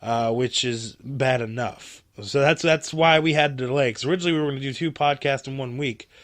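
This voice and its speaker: Male podcast host, solo, monotone delivery with slight inflection, rambly, recovering from a cold, dead-dry room. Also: mid-range voice, no echo. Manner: self-described monotone, rambling with many fillers. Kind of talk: casual solo podcast talk.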